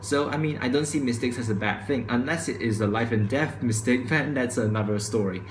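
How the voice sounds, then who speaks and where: Young male student vlogger, mid-pitched, stumbling and restarting lines, camera mic in a roomy space with faint hum. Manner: stutters and restarts, says he speaks too quickly. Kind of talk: casual opinion monologue to camera. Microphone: camera microphone. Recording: echoey room with faint ambience.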